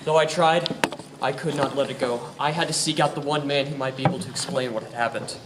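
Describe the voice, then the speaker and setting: A male actor performs an earnest investigator haunted by what he has learned. His radio-play delivery is formal and literary. The voice sounds distant over clear background noise. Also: mid-range voice, steady tone, fast, lightly acted. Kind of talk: dramatic radio-play narration and dialogue. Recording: echoey, distant, noisy theatre recording.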